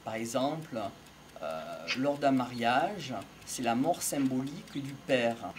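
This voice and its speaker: Middle-aged man, reflective amateur lecturer, unhurried halting delivery, outdoor recording with some background noise and birds. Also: medium pitch, expressive. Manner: measured, halting, pausing between short phrases. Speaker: amateur lecturer on psychology and philosophy. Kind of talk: improvised reflective monologue. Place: outdoors in an open field by trees. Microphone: small wired mic held near the mouth.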